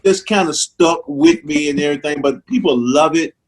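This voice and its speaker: A middle-aged comedian from Mississippi with a strong Southern accent and a slight lisp, chatting casually in a laid-back, drawling way. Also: male voice, on the high side, sing-song, moderate pace. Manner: laid-back, drawling, says "man" a lot. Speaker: stand-up comedian guest. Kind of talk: casual interview chat.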